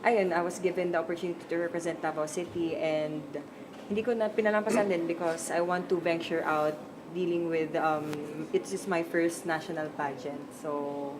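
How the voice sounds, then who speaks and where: A woman's voice: lowish voice, fairly even intonation, fairly quick, background noise. A woman in her twenties with a Filipino accent, a pageant runner-up who also works as a freelance host, answering interview questions in a poised, composed way. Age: 20s.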